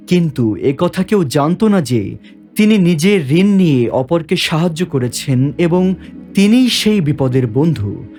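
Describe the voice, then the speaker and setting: A man's voice: on the high side, a little mellow. A man narrating a scripted story as its narrator. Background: background music.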